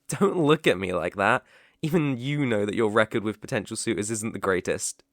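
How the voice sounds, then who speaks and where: A man with a British accent playing a polite, devoted butler in an ASMR roleplay, speaking formally and deferentially with soft attentiveness. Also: lowish voice, animated, moderate pace, dry recording, clean studio sound. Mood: lightly teasing. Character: polite, devoted young male butler, gently teasing.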